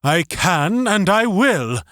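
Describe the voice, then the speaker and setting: A man voicing an earnest, determined idealist in an audiobook, speaking haltingly and with conviction. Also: high-pitched, sing-song, a bit bright, no room sound, clean studio sound, pitched above the performer's own voice, full character voice. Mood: defiant. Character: earnest, resolute young idealist defying his superiors. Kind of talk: audiobook character acting.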